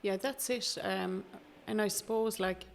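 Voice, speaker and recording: A middle-aged Irish woman from Galway, speaking softly and hesitantly as a guest in an interview, with many restarts. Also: mid-range voice, steady tone, a little slow, creaky. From Galway, Ireland. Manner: soft-spoken and hesitant, with many fillers and restarts. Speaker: guest, Traveller activist. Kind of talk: candid interview conversation.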